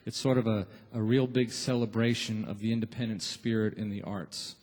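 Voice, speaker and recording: A man hosting a radio show taping, giving informal, encouraging warm-up announcements from a theater stage. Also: fairly low pitch, steady tone, normal speed. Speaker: radio show host and emcee. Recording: echoey theater hall with long reverb.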